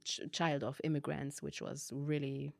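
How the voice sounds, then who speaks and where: A woman in her thirties, raised in Germany by immigrant parents, with a mixed German and Arabic-tinged accent, telling her life story as a podcast guest with animated retellings. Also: low-pitched, moderate pace, creaky, no room sound, clean studio sound. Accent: mixed German and Arabic-tinged non-native English. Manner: animated, acts out past dialogue, frequent likes and ums. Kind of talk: personal storytelling.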